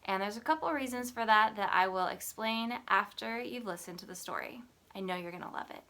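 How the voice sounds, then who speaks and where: A woman who hosts read-alouds for children, talking and narrating a storybook in an expressive, deliberate way. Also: mid-range voice. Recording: roomy echo with music behind.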